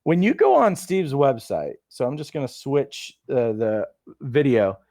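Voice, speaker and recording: A man's voice: mid-range voice, sing-song, unhurried, mellow, dry recording, clean studio sound. A man hosting a live coaching webinar, upbeat, reading out viewer comments over a video call. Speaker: host of a coach training webinar. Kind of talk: casual live webinar chat.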